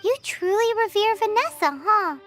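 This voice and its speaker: Tiny childlike fairy sidekick, very high squeaky voice, swooping, chirpy, blunt, game cutscene, loud music. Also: female voice, lively intonation, moderate pace. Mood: curious. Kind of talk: video game cutscene acting.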